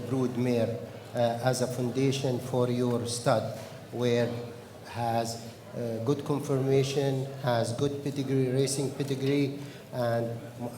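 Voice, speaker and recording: A man, a horse breeder answering on a panel in halting, simple English. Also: medium pitch. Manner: halting, simple non-native English phrasing. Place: large conference hall. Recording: echoey, reverberant conference hall.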